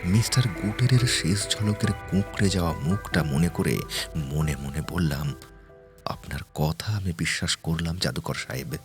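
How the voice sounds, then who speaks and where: Deep, slow male story narrator. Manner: suspenseful, with deliberate pauses and repeated words. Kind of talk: dramatic first-person scripted ghost story narration. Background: music.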